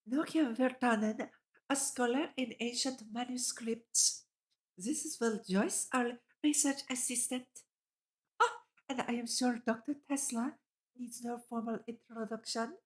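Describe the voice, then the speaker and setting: A woman's voice: on the high side, measured pace, close to an everyday voice. A woman voicing a polite, formal male scholar from a visual novel, speaking courteously.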